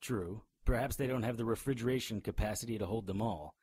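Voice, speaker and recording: An actor playing a hard-boiled, sardonic hero in a radio drama, with a wry, grimly observant manner. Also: male voice, lowish voice, quick pace, a little mellow, husky, no room sound, studio quality. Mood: brooding.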